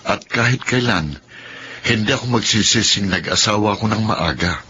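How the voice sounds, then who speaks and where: A man's voice: on the low side, normal speed, lightly acted. A man playing a patient, earnest young husband and respectful son in a Filipino radio drama. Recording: dull lo-fi radio recording, faint noise.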